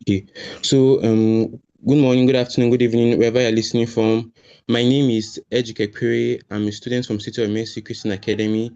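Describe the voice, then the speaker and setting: Dark-voiced teenage boy, muffled call. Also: mid-range voice, level tone, a little fast. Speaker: student intern. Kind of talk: prepared thank-you speech. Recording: dull, lo-fi online call audio.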